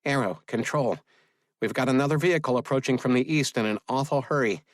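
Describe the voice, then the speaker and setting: A man's voice: mid-range voice, brisk, no echo, pitched above the performer's own voice, lightly acted. A man voicing a businesslike mission controller in an audiobook, with clipped radio-procedure delivery. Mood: brisk, alert.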